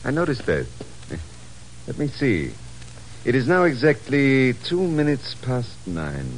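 An actor playing a suave, sly foreign gentleman with courtly, mock-innocent speech in a 1950s radio drama. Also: mid-range voice, wildly swooping pitch, slow, slight background noise, exaggerated voice, low-quality recording. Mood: feigned innocence.

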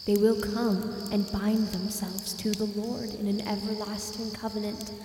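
An actress playing a solemn, eerie woman who recites scripture gravely in an audio drama. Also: mid-range voice, level tone, unhurried, close to an everyday voice. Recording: echoey reverberant space, noisy night ambience.

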